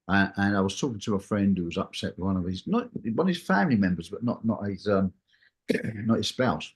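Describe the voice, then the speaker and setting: Man chatting casually, very animated pitch. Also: fairly quick. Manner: rambling, anecdotal, acts out reported dialogue. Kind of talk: casual conversational discussion.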